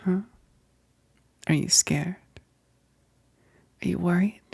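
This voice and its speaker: A woman playing a female vampire best friend, tender yet menacing, in an intimate ASMR roleplay, whispering breathily and haltingly. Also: on the low side, fairly even intonation, vocal fry, warm tone, husky, dry recording, full character voice. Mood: wistful, regretful. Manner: slow, halting, intimate, with sighs.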